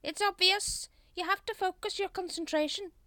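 A grand, exasperated master of a secret cult, acted out by a woman, pitched very high and forcefully loud. He is imperious and sarcastic, declaiming like a storybook tyrant who has run out of patience. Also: fairly even intonation, normal speed, dry recording, clean studio sound. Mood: impatient, pompous. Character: pompous, exasperated male leader of a secret cult. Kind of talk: storybook character voice in audiobook.

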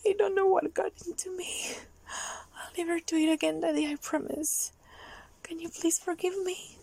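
A young adult woman performing a solo audio roleplay in a soft, breathy, pleading manner, often dropping into a whisper. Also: very high voice, little pitch movement, no room sound. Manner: slow, drawn-out delivery with frequent whispering.

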